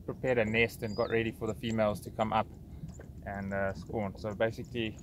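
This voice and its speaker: A man's voice: on the low side, a little fast. A South African man guiding a fishing trip, explaining plainly and easily with fillers, outdoors on a boat. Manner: relaxed, hesitant with fillers. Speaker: fishing guide. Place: outdoors on a bass boat on a lake. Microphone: action camera on the boat. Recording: outdoor boat recording with noise.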